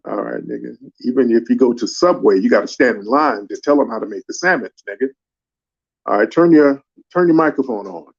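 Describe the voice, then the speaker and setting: A man runs a live call-in panel, steering callers in a brisk, commanding way. His voice sits at an ordinary middle pitch. The sound is dull and lo-fi, like a streamed call. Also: vocal fry. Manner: brisk, calls people up and scolds them to slow down. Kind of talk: casual moderating.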